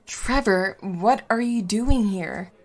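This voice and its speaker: A woman's voice: mid-range voice, takes it slowly, far from the mic. An actress playing an earnest, literal-minded female superhero in a comedic audio drama. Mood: puzzled. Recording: echoey, off-mic, music behind.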